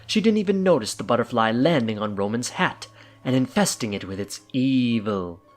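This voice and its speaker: Animated male audio drama narrator. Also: mid-range voice, moderate pace. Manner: theatrical, storytelling.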